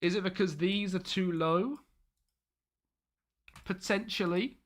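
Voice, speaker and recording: A young man streaming a football management game live, chatting with viewers and thinking his tactics through out loud. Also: high voice, steady tone, slow pace. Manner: chatty, thinks aloud, reads out chat messages.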